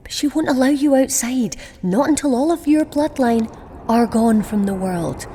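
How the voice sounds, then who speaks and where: A woman's voice: on the high side, animated, normal speed, warm tone, natural voice. Woman playing a defiant, scheming goddess, ambient noise. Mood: defiant, guarded. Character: defiant scheming young goddess, determined and secretive. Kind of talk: audio drama acting with first-person narration.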